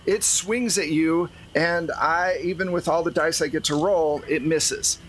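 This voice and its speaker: Higher-voiced man narrating a tabletop game. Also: unhurried, a little hiss or hum. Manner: conversational, explanatory. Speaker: game master. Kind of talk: improvised narration of a tabletop role-playing game.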